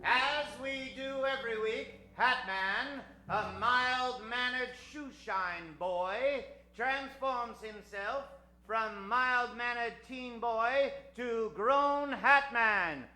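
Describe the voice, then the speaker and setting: Man putting on a high, bombastic old-time radio announcer. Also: drawn-out words, pushed and bright, exaggerated voice. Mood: grandiose. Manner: theatrical, emphatic, grandiose. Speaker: host and announcer of a live radio show. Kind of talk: 1940s radio announcing, improvised comedy. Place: theater stage before a studio audience. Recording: roomy live stage with music.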